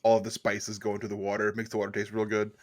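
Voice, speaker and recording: A man co-hosting a casual podcast, goofy and joking, riffing with drawn-out deadpan bits. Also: fairly low pitch. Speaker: podcast co-host. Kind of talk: casual chat.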